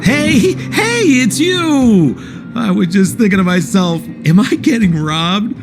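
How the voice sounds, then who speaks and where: High, swooping, slippery rogue. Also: male voice, big pitch swings, takes it slowly, over music, exaggerated voice. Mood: breezy, feigned friendliness. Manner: theatrical, wheedling, exaggerated self-justification. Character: slippery, flamboyant, smooth-talking male rogue, playfully evasive. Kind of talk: video game cutscene acting.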